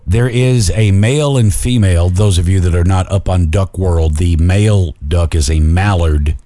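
A man's voice: deep voice, moderate pace, mellow, no room sound. Dry, teasing man co-hosting a podcast. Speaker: podcast co-host. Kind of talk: casual banter podcast. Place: home podcast studio. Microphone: studio mic.

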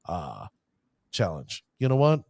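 A man's voice: deep voice, huge pitch swings, slow pace, creaky, noisy background. A man hosting a card-game show, talking with showman flourishes and stumbling over player names. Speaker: podcast host. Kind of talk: hype-filled casual show talk.

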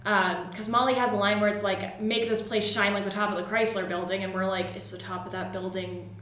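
A woman's voice: mid-range voice, flat delivery, fairly quick, a bit bright. A woman from southern New Hampshire co-hosting a podcast, chatting casually and drawing on personal anecdotes. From southern New Hampshire, USA. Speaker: podcast co-host. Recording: very echoey, reverberant room.

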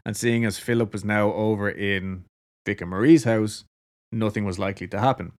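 A man's voice: fairly low pitch, moderate pace. A man with an Irish accent casually telling a story to a co-host, laughing often and swearing freely. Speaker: podcast co-host.

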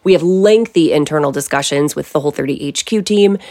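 A woman's voice: low voice, a little fast. A middle-aged woman, a company founder, answering interview questions in a confident, emphatic conversational way. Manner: confident, emphatic, lively asides.

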